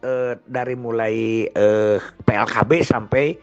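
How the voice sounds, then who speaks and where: A man's voice: medium pitch, steady tone, slow pace. An older man with a West Javanese Sundanese-region Indonesian accent, talking informally and ramblingly like a grandfather telling of past experiences. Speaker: storyteller. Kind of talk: informal reminiscing monologue. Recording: dull lo-fi sound with music behind. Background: music.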